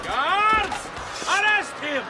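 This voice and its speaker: An actor playing a pompous, treacherous official, declaiming judgement in a stately public voice. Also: male voice, very high voice, very animated, very slow, loud music behind the voice, heavily acted. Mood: commanding.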